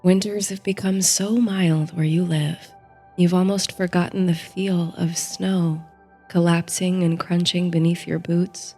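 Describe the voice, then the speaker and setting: A woman narrates a sleep story like a guided meditation, in a soft, soothing, unhurried voice that turns breathy at the ends of phrases. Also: fairly low pitch, level tone. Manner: slow, soothing, unhurried delivery. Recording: soft music under the voice. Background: soft music.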